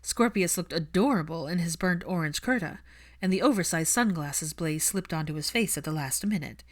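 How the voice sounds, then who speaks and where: A woman's voice: on the low side, expressive, moderate pace. A woman reading fiction aloud as an audiobook narrator, in a scripted storytelling style.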